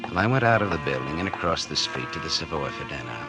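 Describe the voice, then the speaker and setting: A man's voice: extremely low pitch, lively intonation, quick pace. A man playing a bitter, scheming publisher in a 1940s radio drama, sardonic and slangy, with an American accent and a hard-boiled delivery. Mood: bitter, brooding. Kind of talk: 1940s radio acting, first-person noir narration. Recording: old 1940s radio recording with music.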